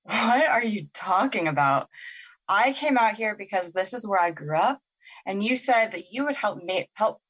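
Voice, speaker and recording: A woman's voice: mid-range voice, expressive, normal speed, a bit bright. A woman acting in an audio drama as a resentful, skeptical young woman, plain-spoken and bitter. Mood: exasperated.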